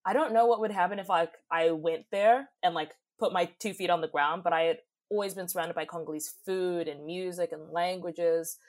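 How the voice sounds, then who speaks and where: A woman's voice: fairly low pitch, fairly even intonation, moderate pace, a little mellow. A young woman, reflecting thoughtfully and expressively in a conversational interview. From New Zealand. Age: young adult. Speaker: podcast guest, youth advocate and poet.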